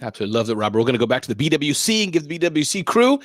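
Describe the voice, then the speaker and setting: A man hosting a live online business show, energetically shouting out viewers and guests by name. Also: fairly high pitch, sing-song, racing, no echo, studio quality. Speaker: host.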